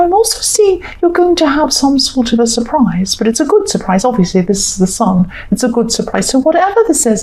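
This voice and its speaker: A woman with a croaky voice giving a tarot reading to viewers, talking in a reassuring, repetitive way and thinking aloud with fillers. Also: a little high, darkish tone, a little hiss or hum, poor audio. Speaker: tarot reader.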